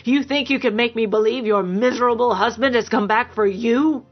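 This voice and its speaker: A woman's voice: on the high side, moderate pace, breathy edge, faint music behind, pitched above the performer's own voice. Lady reader playing big mean bully guy from an audiobook, growly n sneering, slightly dull recording. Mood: enraged, contemptuous. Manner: growling, bullying, roaring contempt. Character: big brutish angry man, abusive sneering lover. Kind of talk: dramatised fiction narration.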